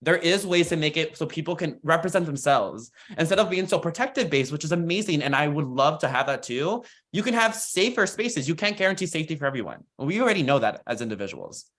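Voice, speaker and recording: A man's voice: fairly high pitch, very animated, fast talker, few pauses, fairly bright tone. An American man speaking informally on a workshop panel, with an earnest, encouraging manner. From United States, Florida and rural Texas. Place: online video call.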